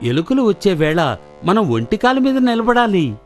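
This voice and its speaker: A crafty fox posing as a saint for a children's cartoon. The voice is high and swings up and down, pompous in its mock piety, with faint music underneath. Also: male voice, big pitch swings, normal speed, darkish tone. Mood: gloating. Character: sly fox posing as a pious holy man.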